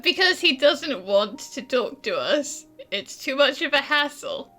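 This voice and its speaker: A woman's voice: high voice, animated, a little slow, sharp tone, soft background music, heavily acted. A woman playing a playful, teasing young traveler, easily amused, in video game voice-over. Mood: explaining. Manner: teasing, giggly.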